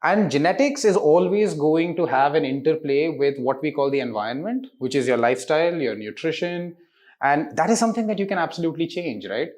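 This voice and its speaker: A man's voice: on the high side, lively intonation, fairly quick, darkish tone. A man, giving articulate explanatory interview answers peppered with rhetorical questions. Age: 30s. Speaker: interviewed diagnostics company representative.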